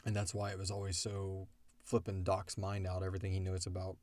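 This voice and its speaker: A man's voice: low voice, completely flat delivery, a little fast, darkish tone, no room sound. A man co-hosting a podcast, answering fan questions in a casual, rambling and joking way about the story he created. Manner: rambling, joking explanations with acted-out quotes.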